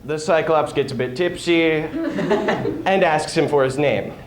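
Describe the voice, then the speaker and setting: A man's voice: fairly high pitch, slow pace, slight background noise. A young man giving a dramatic, emphatic poetry reading to an audience as a student performer. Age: teens or young adult. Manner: theatrical, emphatic delivery. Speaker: student speech team performer. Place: hall with live audience. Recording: echoey hall recording.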